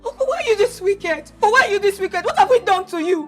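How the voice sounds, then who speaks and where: A woman plays a desperate young woman, begging her uncle through tears and breaking into shouts. Her voice sits very high. Music plays softly behind her. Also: fairly even intonation, normal speed. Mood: accusing. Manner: crying, pleading, shouting. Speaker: actor.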